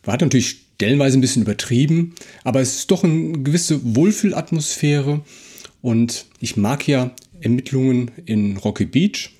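Mann mit leicht belegter, weicher Stimme. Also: male voice, medium pitch, moderate pace, dark tone, slightly rough. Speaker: podcast co-host. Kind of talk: casual podcast discussion.

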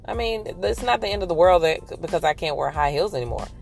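Chatty woman in her forties, low-pitched, driving. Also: animated, fast, gravelly, a little mellow, faint music behind. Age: 40s. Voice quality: somewhat deep voice. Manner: chatty, candid and upbeat. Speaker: podcast host. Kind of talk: casual chat while driving. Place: in a car on her commute.

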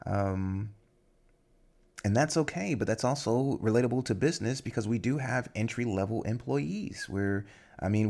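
A man's voice: lowish voice, a little mellow. A young man hosting a solo podcast, talking casually and rambling with many fillers and restarts. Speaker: podcast host.

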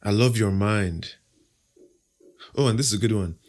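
Confident, playful Nigerian man, low voice. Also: normal speed, a little mellow. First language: Igbo. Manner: confident, playful, self-assured. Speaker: podcast host, interview guest. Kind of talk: casual interview answers.

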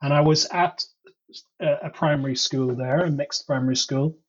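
A man from Ireland, a guest in a casual interview, telling his stories slowly with many ums and uhs. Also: a little high, fairly even intonation, slightly rough. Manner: unhurried, many ums and uhs, reflective storytelling. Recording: dull lo-fi sound.

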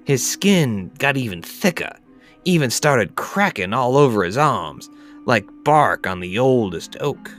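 A man playing a folksy, rustic yarn-spinner who tells a dark tale in a drawling, colloquial, theatrical way. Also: medium pitch, very animated, a little slow, faint music behind. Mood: ominous, slow. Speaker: podcast host reading a tale. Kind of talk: theatrical dramatic storytelling.

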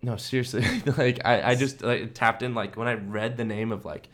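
A man's voice: mid-range voice, fairly even intonation, normal speed, fairly bright tone. A young adult American man chatting casually as a podcast co-host, slangy and emphatic, often repeating himself for emphasis. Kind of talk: casual chat.

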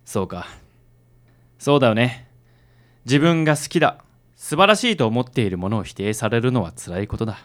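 Radio drama role, male actor: smooth, gallant young mentor type, reassuring and highly expressive. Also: normal speed, long pauses, close to an everyday voice. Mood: gentle, reassuring. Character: suave, encouraging young man, gentlemanly friend of the detective.

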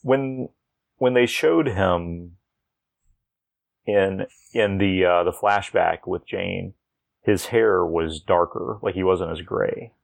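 Creaky low-pitched man chatting. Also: slow pace. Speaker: podcast host. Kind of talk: casual chat about TV episodes.

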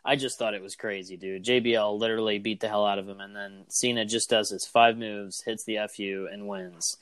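A man's voice: lowish voice, a little fast, clean tone, no echo. Rambling casual man, dry close sound. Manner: animated, rambling, lots of fillers. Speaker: podcast co-host. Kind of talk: casual chat about wrestling.

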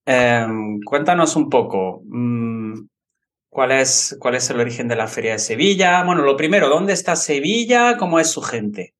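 Lively-pitched Spanish male podcast host. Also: unhurried. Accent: Spanish from Spain. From Andalusia, Spain. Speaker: podcast host and language teacher. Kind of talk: scripted podcast introduction and interviewing.

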